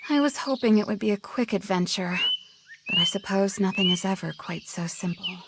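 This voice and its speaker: A woman's voice: medium pitch, brisk, heavy background noise, close to an everyday voice. A woman playing a practical, direct young female adventurer in a fantasy game. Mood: wry, polite. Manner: direct, inquisitive. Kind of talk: fantasy game character dialogue.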